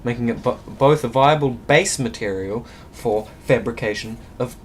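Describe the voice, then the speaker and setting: A man's voice: mid-range voice, normal speed. A man doing casual gameplay commentary, muttering to himself and reading game text aloud with occasional stumbles. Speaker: video game player. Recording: clearly noisy recording with background hum.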